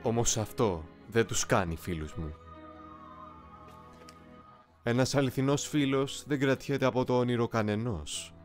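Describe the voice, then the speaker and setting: A man playing a young, eloquent, coolly ambitious soldier in an anime fan dub, speaking in a formal, measured, philosophical way. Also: medium pitch, lightly acted. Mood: cool, resolute. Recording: music behind the voice.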